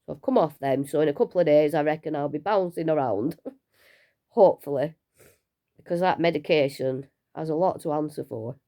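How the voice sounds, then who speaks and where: A woman, unwell and low on energy, talking casually and rambling a little in a personal vlog from home. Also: normal speed, dark tone. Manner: chatty, rambling, self-deprecating with small laughs. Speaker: vlogger sharing her cancer treatment.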